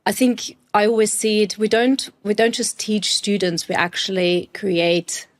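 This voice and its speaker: A woman's voice: mid-range voice, unhurried. A woman from Austria, a university executive, answering interview questions in an articulate, earnest way with occasional restarts.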